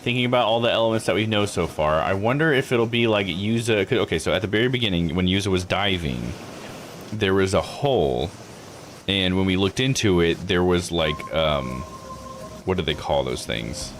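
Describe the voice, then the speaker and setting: A man's voice: low-pitched, normal speed, a little hiss or hum. A man giving casual live commentary as a game streamer, thinking aloud as he goes. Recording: music under the voice. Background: game music.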